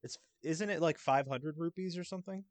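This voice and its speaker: A man's voice: a little high, brisk, no echo. Man chatting keenly, dry close sound. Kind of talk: casual chat about a video game.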